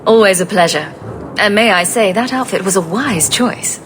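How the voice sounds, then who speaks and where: An actress playing a wry, defiant heroine in a video game, with a dry, sardonic delivery. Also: female voice, medium pitch, moderate pace, background noise, close to an everyday voice. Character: wry, defiant female adventurer hero.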